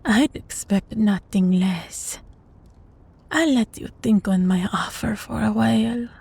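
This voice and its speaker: A woman playing a sadistic, possessive vampire master in an intimate audio roleplay, teasing and imperious, with a breathy, husky voice. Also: mid-range voice, a little mellow, heavily acted. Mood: smug, menacing. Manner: slow, taunting, imperious, with breathy whispers.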